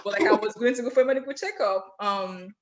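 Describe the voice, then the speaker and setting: A woman from Nigeria with a partly Americanized Nigerian accent, a podcast guest sharing personal stories in an open, animated way. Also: medium pitch, quick pace. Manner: open, storytelling, animated when recounting. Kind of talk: casual personal conversation.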